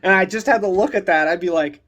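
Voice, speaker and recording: A man's voice: high-pitched, quick pace, fairly bright tone. A man hosting a casual reaction commentary, giggly and loosely profane in the way he talks. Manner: giggly, often laughing as he talks. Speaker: host of a meme review channel.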